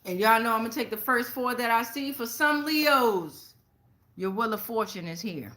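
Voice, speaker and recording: Casting: American woman as tarot host, sharp bright tone, fairly high pitch, casual, blunt and slangy delivery. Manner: blunt, slangy, freely swearing. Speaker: tarot reader and show host. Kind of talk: casual tarot reading to viewers.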